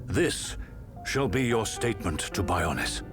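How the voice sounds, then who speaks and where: A man's voice: lowish voice, very animated, measured pace, over music. A man playing a resolute emperor in a video game, speaking in a formal, commanding way. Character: authoritative emperor in council, weighty and resolute.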